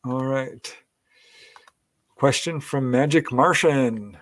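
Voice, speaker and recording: A man hosting a podcast Q&A, briskly reading out listener questions. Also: medium pitch, dry recording. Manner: brisk, reads questions aloud, light laughs.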